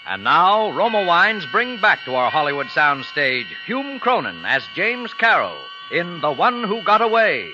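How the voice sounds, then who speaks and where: A man's voice: high-pitched, very animated, a little slow, hardly any pauses. A man giving a formal, dramatic scripted introduction as announcer of a 1940s radio show. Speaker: program announcer. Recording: dull old radio recording over music.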